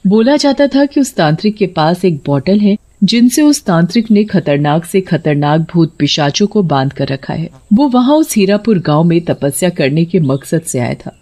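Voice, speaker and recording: Horror tale narrator: medium pitch, scripted storytelling delivery. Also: lively intonation, moderate pace, hardly any pauses, darkish tone.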